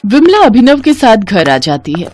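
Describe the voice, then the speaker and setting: A woman's voice: a little high, big pitch swings, moderate pace, darkish tone. A woman storyteller reading scripted narration of a moral tale.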